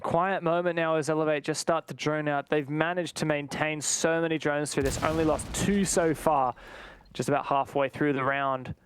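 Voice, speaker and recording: A man's voice: fairly high pitch, steady tone, moderate pace, a little hiss or hum. A man doing live esports commentary and analysis in a conversational manner.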